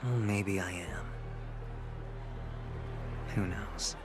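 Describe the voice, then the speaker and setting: An actor playing a suave, smug, reckless young gambler in a video game, teasing and theatrical. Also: male voice, sits low, very slow, loud music behind the voice. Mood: amused, sly.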